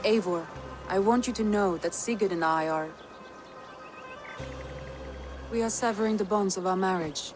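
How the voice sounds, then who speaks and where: A woman playing a composed, loving Norse stateswoman in a video game, with formal, deliberate and sincere delivery. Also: mid-range voice, music in the background, close to an everyday voice. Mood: tender, solemn. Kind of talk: video game dramatic dialogue. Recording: outdoor ambient noise behind voice.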